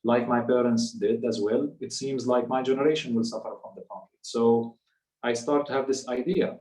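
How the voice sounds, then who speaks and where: A man in his thirties with a Palestinian accent, a native Arabic speaker, telling a personal story in a measured, halting way. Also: medium pitch, steady tone, darkish tone. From West Bank, Palestinian territories. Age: 30s. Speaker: guest speaker. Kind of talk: personal storytelling in a public talk. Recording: echoey room, distant microphone.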